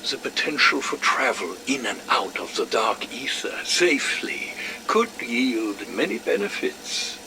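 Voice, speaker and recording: An actor playing a fussy, wry scientist with a formal, pedantic manner in an audio drama. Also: male voice, mid-range voice, sing-song, unhurried, music in the background, rough recording. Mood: musing. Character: fussy, wry, verbose male scientist, smugly playful.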